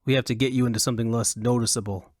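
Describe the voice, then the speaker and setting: A man's voice: medium pitch, steady tone, very fast, no room sound, natural voice. A man voicing a blunt, pragmatic hired adventurer in an audiobook, with a dry, terse, impatient manner. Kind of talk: audiobook character acting.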